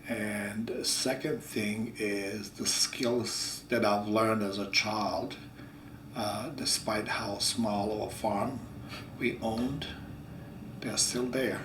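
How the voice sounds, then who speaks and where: A man's voice: on the low side, steady tone, background noise. Croatian-accented man, echoey distant mic. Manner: halting, repeats words, laughs readily. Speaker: farm vlog co-host. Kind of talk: casual conversation.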